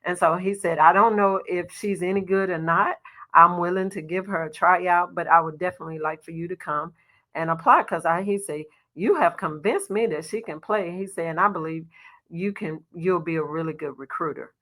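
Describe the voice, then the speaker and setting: A middle-aged woman from Arkansas, a basketball coach, telling stories in a relaxed podcast interview with a soft voice and lots of "you know". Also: lowish voice, normal speed. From Little Rock, Arkansas. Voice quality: soft-spoken. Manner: storytelling, warm laughs, frequent "you know". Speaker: associate head basketball coach, guest.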